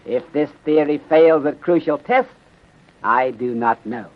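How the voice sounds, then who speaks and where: A man's voice: a little high, close to an everyday voice. Man acting patient detective inspector, tinny old radio. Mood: calm, deliberate. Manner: formal, measured, aphoristic, clipped grammar without articles. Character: patient, courteous Chinese-American male police detective inspector. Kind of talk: 1930s radio mystery acting. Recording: tinny, narrow-band 1930s radio recording.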